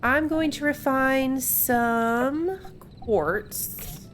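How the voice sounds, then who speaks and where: A woman's voice: high voice, drawn-out words. A woman giving casual let's play commentary, thinking aloud as she plays. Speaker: gaming video host. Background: game music.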